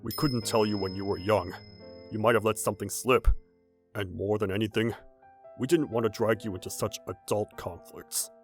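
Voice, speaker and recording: A man playing a calm, practical, protective middle-aged father in a manga dub, explaining gravely in measured phrases. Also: fairly low pitch, soft background music, lightly acted. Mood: earnest, regretful. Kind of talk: anime-style manga dub acting.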